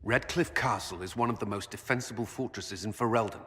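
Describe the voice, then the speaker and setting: An actor playing a blunt, emphatic military commander in a video game, heard with a long hall echo. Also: male voice, fairly low pitch, fairly quick, pushed and bright. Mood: forceful, insistent. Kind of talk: video game character acting.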